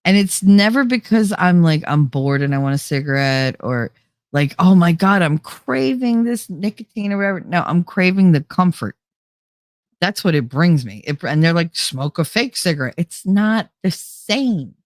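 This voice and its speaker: A woman's voice: fairly low pitch, lively intonation, normal speed, dry recording. A young adult woman hosting a livestream, chatting casually and rambling, a little nasal from a cold, close to the microphone. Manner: rambling, chatty, laughs often. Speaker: livestream host. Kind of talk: casual chat reading live comments. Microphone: close desk microphone.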